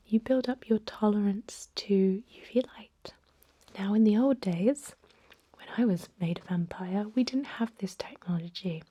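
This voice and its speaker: A woman playing a thousand-year-old vampire medic in an ASMR role-play, whispering softly in a gentle, courteous, reassuring way with a hesitant, explanatory manner. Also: mid-range voice. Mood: mildly disapproving. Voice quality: soft, breathy whisper. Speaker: emergency room clinician in a role-play.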